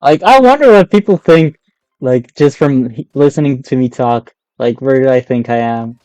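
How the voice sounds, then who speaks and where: A man with an Argentinian accent and Spanish as his first language talks in a loose, conversational way while live streaming a game. His voice is mellow and smooth, sitting at an ordinary pitch. The sound is close and dry, with very little room on it. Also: normal speed, no echo, rough recording. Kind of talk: casual live stream chat while gaming.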